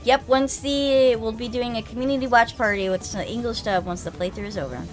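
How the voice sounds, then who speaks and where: A woman's voice: on the high side, a little fast, soft background music. A woman hosting a livestream readthrough in casual chat, with some phlegm in her throat. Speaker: streamer hosting a visual novel readthrough.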